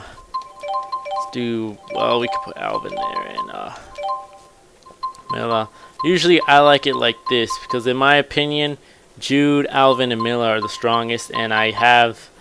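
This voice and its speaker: A man's voice: mid-range voice, creaky. A man giving casual let's play commentary, rambling and thinking aloud as he goes. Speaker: gaming video host.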